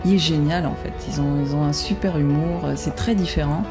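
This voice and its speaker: Woman, very low pitch, casual interview advice, clear music behind. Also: brisk.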